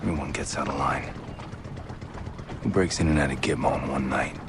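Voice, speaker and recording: A man playing a gruff, middle-aged covert agent in a video game, terse and deadpan in a gravelly voice. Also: a bass voice, moderate pace. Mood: grim, terse. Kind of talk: cinematic video game acting. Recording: noisy game action soundtrack.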